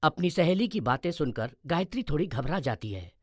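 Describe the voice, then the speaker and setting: Lively male story narrator, slightly high-pitched. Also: brisk, no echo, studio quality. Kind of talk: scripted storytelling narration for animated moral story.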